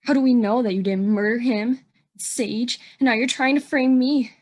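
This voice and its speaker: A woman's voice: fairly high pitch, fairly even intonation, normal speed, darkish tone, close to an everyday voice. A woman acting in an audio drama as a bitter, defiant young murder suspect, indignant and sardonic. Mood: angry, accusing.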